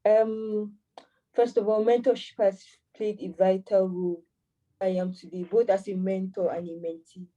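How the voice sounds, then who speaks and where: A woman's voice: mid-range voice, little pitch movement, moderate pace, warm tone, lo-fi sound. Hesitant woman from Cameroon, distant call. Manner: hesitant, many fillers and restarts. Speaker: AI mentor and master's student. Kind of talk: remote interview answers.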